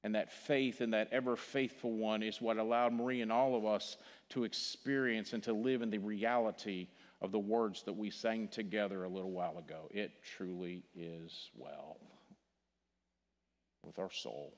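An older man from Missouri with a Missouri accent, preaching a funeral sermon in a church sanctuary, earnest, repeating words and dropping to a hush on key phrases. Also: fairly low pitch, fairly even intonation, normal speed. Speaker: pastor. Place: large church sanctuary stage. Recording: long hall reverb from the church.

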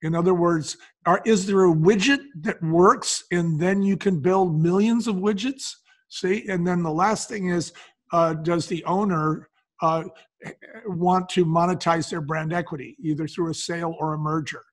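A man's voice: high-pitched, fairly even intonation, moderate pace. An older man talking as an interview guest, explaining things and telling stories in a conversational way. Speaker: podcast guest, business founder.

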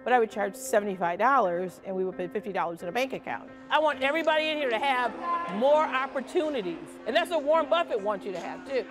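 A woman's voice: on the high side, lively intonation, quick pace, over music. A middle-aged American woman speaking in an interview and teaching, as a camp director does. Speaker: youth finance camp director.

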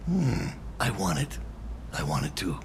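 An actor voicing a cocky, flirtatious cowboy crime boss in a video game, with a gravelly, drawling, slangy delivery. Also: male voice, deep voice, wildly swooping pitch, unhurried, slight background noise, heavily acted. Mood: cool, sly. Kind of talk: cinematic video game acting.

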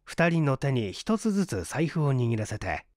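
A man's voice: medium pitch, big pitch swings, moderate pace, darkish tone, no echo, studio quality. A man narrating a literary story aloud in a dramatic reading style. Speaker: audiobook narrator and stage performer.

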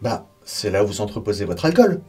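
A man plays a young alchemist who is quick to take offence and turns indignant and mocking when pushed. His voice is rough and husky, its pitch rising and falling freely, with faint music underneath. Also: big pitch swings, darkish tone. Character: touchy young male alchemist, naive and defensive. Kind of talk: comedy sketch acting. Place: stone cellar set, indoors.

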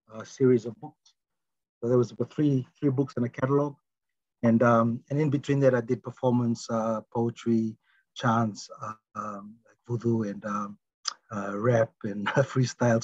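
A man in his forties with a Fijian accent, talking casually and haltingly as he reflects on his work as a poet in an interview. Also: mid-range voice, a little mellow. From Fiji. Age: 40s. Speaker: guest, poet. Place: small room indoors, on a video call. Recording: dull, roomy video-call audio.

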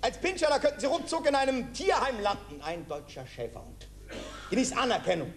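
A smug psychiatrist in a cabaret sketch, talking down to his patient in a soothing tone. His voice is very high and lively. The sound is a roomy live theater recording, with the crowd audible. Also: brisk, over music, exaggerated voice. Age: middle-aged. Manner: condescending, soothing, repeats "mein lieber Herr Meier". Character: smug patronizing male psychiatrist. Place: theater stage before a live audience.

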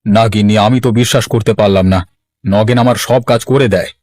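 A man's voice: fairly low pitch, steady tone, fairly quick, darkish tone, dry recording, natural voice. Man acting a stubborn village headman, close dry sound. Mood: stubborn, aggrieved. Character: gullible, stubborn married village headman hungry for wealth. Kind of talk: Bengali cartoon voice acting.

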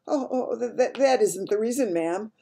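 A woman's voice: mid-range voice, sing-song, normal speed, mellow, breathy edge, pitched above the performer's own voice. A woman voicing an anxious, deferential royal maid in a storybook, flustered and quivering. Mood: shaky, flustered.

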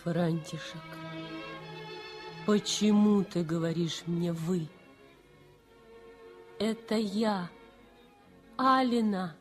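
An actress voicing Alena, a young woman nurse who is gentle and devoted, in a 1960s radio drama. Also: fairly low pitch, very slow, pauses often, faint music behind. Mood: tender.

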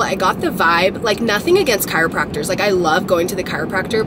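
Casting: woman in her twenties, raspy, low-ish voice, chatty vlogger in a moving car with road noise. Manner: rambling, upbeat, lots of "like". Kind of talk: casual chatty vlog talk to camera. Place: inside a car while driving. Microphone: camera mounted on the dashboard.

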